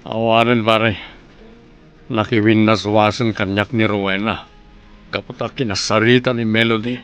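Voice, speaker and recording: A man's voice: fairly low pitch, level tone, moderate pace, a bit bright, clean tone, close to an everyday voice. An actor with an Ilocano accent playing a heartbroken, devoted father, pleading melodramatically in a radio drama. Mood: anguished.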